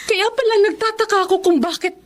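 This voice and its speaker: Hurt, high-voiced Filipino wife. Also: female voice, quick pace. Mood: suspicious, accusing. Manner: emotional, accusing. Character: betrayed, hurt wife, thirty-something bakery owner. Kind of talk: Filipino radio drama acting.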